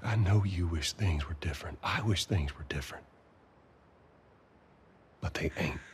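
A man playing a guarded, weary middle-aged survivor in a video game, with a terse, measured delivery. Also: low-pitched, slight background noise, close to an everyday voice. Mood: firm, guarded. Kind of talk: naturalistic cinematic game acting.

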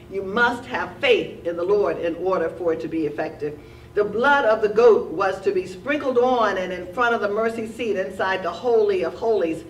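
A woman teaching a Sunday school Bible lesson at a church lectern, reading steadily and slowing down to stress key words. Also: mid-range voice, a little hiss or hum. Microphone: lectern mic, filmed from a distant camera. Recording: roomy church sound from a distance.

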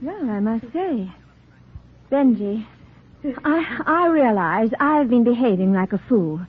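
An actress playing a proper, fretful but determined middle-aged wife in 1940s radio drama style. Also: female voice, fairly high pitch, animated, takes it slowly, slightly rough, heavily acted. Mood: fretful persuasion. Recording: muffled, narrow 1940s radio recording.

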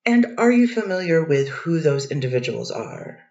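A woman's voice: sits low, sing-song, moderate pace, warm tone. A woman reading the part of a defense attorney in a courtroom transcript reading, asking methodical cross-examination questions. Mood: steady. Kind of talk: readers theater courtroom reading. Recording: dull distant echoey recording.

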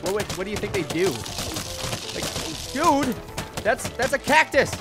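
A young man giving goofy, casual gameplay commentary, slightly hoarse from losing his voice, with sudden loud yelled outbursts. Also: high-pitched, sing-song, measured pace. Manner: goofy, jokey, bursts into loud yelled shouts. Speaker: gaming commentator. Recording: loud game music behind the voice. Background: video game music.

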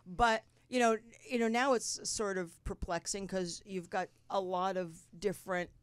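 A woman's voice: medium pitch, steady tone, normal speed, clear, resonant tone, dry recording. A middle-aged woman hosting a casual podcast chat, asking probing questions and teasing her guests playfully.

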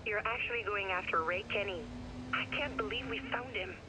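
An actress playing a worried, sceptical young hacker ally in a video game, questioning and cautioning. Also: female voice, medium pitch, fairly quick, lightly acted. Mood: cautious doubt. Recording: phone call over loud car noise.

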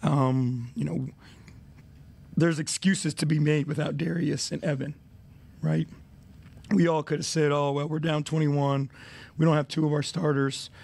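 Gravelly coach at a press desk. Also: male voice, fairly high pitch, a little mellow. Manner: measured, many fillers, reflective. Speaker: head coach. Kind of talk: postgame press conference. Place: arena press room. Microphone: desk mic.

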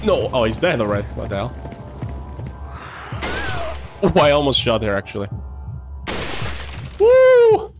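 A man's voice: mid-range voice, wildly swooping pitch, moderate pace. A man in his thirties streaming a horror game live, joking and laughing at himself as he plays, on a headset mic. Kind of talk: live gameplay commentary. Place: home room, indoors. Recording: game music behind voice.

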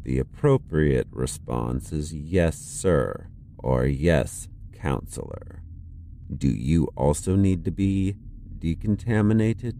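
A man's voice: rumbling low, lively intonation, takes it slowly, gravelly, dark tone, a little hiss or hum, heavily acted. An actor playing a pompous, condescending corporate councilman in an audio drama, cold and authoritarian, with clipped formal delivery. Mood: stern, condescending.

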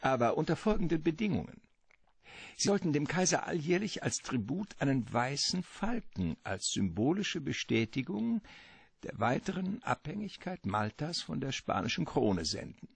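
An actor playing a genteel, long-winded villain obsessed with treasure in a radio drama, florid and courteous. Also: male voice, on the high side, big pitch swings, normal speed, creaky, breathy edge, no room sound, full character voice. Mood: relishing, lecturing. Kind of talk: radio drama acting, storytelling monologue.

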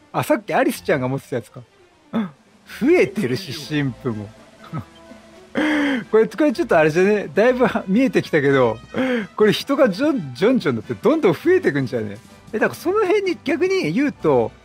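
A man doing casual gameplay commentary, chatty and full of quipped reactions. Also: high voice. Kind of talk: casual let's-play commentary, reading dialogue aloud. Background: game music.

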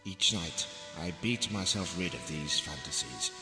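Pious monk, video game journal narration, low voice, solemn, confessional, echoey. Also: male voice, lively intonation, a little slow. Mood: solemn, troubled. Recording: echoey, loud music behind.

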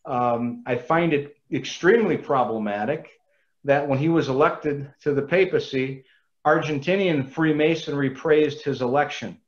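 Earnest man on a muffled call. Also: fairly high pitch. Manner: earnest, measured, quotes sources at length. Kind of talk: talk on a video call. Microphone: video call.

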